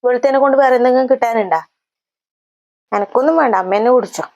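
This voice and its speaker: A woman acting as a pregnant young mother with a Kannur accent, high-voiced and very quick. She answers back with sharp sarcasm, in comedy sketch style. The audio is slightly dull. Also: slight background noise, lightly acted. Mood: irritated, defiant. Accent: Kannur, north Kerala. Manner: sarcastic retorts.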